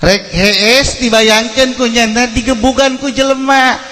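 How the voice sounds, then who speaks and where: A man with a Sundanese accent preaching as a storyteller, humorous and theatrical, acting out dialogue and swinging between hushed and loud delivery. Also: very high-pitched, steady tone, a little slow, slight background noise. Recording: echoey hall with long reverb.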